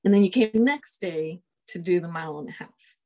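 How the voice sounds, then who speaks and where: A woman in her forties whose first language is Spanish, a colonel telling stories in a casual interview, relaxed and chuckling often. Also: on the low side, fairly quick. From United States. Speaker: guest, Air Force colonel.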